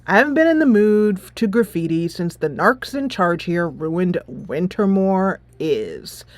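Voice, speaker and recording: A woman's voice: on the low side, lively intonation, a little slow, dry recording. A woman voicing a cool, unbothered, rebellious teenage boy in a game, laid-back and offhand. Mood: offhand, unimpressed. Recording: soft music behind the voice.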